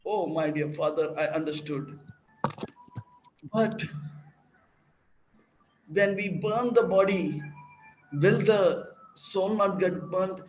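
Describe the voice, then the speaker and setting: A man's voice: high voice, slow, pauses often, a little mellow, faint music behind, voice pushed up. A man voicing a young boy who earnestly questions his father, in a roomy, dull recording. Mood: curious.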